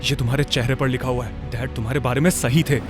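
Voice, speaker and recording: A man voicing moody, defensive young men from a wealthy family in an animated story, with curt, dramatic delivery. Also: mid-range voice, fast talker, music in the background, natural voice. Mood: jealous, accusing. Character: moody young men, rival rich brothers, sardonic and defensive.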